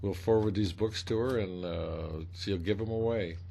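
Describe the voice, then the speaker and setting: Low-voiced guy on a radio show, chatty, jokey, lots of uh and um. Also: moderate pace, gravelly, warm tone. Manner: chatty, joking, lots of fillers. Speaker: radio host. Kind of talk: casual radio interview.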